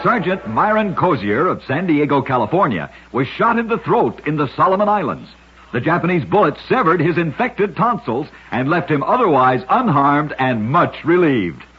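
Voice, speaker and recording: A man narrating short scripted stories for radio in a measured, dramatic storyteller style. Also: on the high side, big pitch swings, faint music behind. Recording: muffled vintage-style radio recording.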